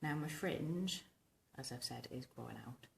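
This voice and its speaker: A woman speaks in an extremely deep, smoky voice, hoarse and rumbling from a sore throat. She chats informally and rambles as she gives a casual tutorial to camera. Clear background noise sits behind her in a room at home. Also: measured pace, echoey room. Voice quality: husky, rumbling, smoky voice from tonsillitis. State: recovering from tonsillitis, hoarse. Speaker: vintage hairstyling vlogger. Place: small domestic bedroom, indoors.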